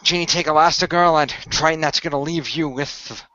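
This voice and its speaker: A man's voice: fairly high pitch, fairly even intonation, brisk, bright, forceful tone, dry recording, muffled recording. A man improvising narration and game talk as he leads a role-playing session.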